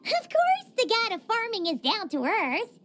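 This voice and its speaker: An actress voicing a tiny, chirpy female companion creature in a video game, cheeky and excitable. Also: unhurried, full character voice. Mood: teasing. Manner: excitable, cheeky, talks in a high singsong. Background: game soundtrack music.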